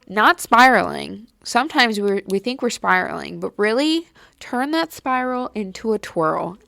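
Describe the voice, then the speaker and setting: A woman's voice: mid-range voice, creaky, fairly bright tone, dry recording. A young woman hosting a podcast, chatting casually and rambling with lots of "like", her nose a little stuffy. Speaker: podcast host. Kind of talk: casual solo chat. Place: at home late at night.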